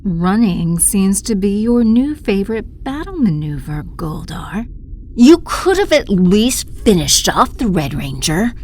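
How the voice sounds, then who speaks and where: A mocking evil empress for an audio drama. Her theatrical, commanding voice swoops widely in pitch, with faint noise behind it. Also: big pitch swings, a little slow, darkish tone. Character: imperious, mocking villainous empress.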